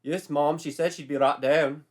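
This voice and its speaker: A man's voice: on the high side, fairly even intonation, normal speed, pitched above the performer's own voice, natural voice. A man voicing a chirpy, obliging housekeeper woman. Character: chirpy British housekeeper woman, eager to serve.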